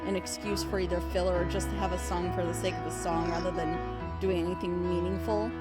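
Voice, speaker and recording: A woman's voice: on the low side, little pitch movement, fast, loud music behind the voice. A woman reacting to an episode and picking apart its writing analytically. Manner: analytical, opinionated. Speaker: reaction show regular. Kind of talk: critical commentary. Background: episode audio playing.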